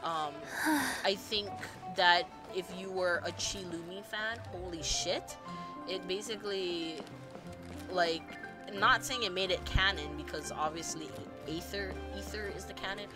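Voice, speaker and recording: A woman streamer with a slightly low voice talks in a loose, gushing ramble, full of fillers and casual swearing. Music plays clearly behind her voice. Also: unhurried. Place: home streaming setup.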